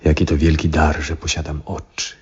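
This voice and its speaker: An actor playing an aging, troubled, devout astronomer in a 1970s radio drama, speaking in a solemn, reflective and husky way. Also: male voice, rumbling low, completely flat delivery, a little mellow, exaggerated voice. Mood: wondering, resolute. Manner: solemn, reflective, theatrical, deliberate phrasing. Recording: dull vintage radio recording.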